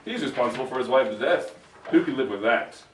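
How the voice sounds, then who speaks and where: A man's voice: mid-range voice, animated, brisk, distant mic, close to an everyday voice. A man playing a brooding, wry young vampire boyfriend in an amateur live dramatic script reading, dry and melodramatic. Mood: wry, morbid. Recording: echoey room from afar, noisy.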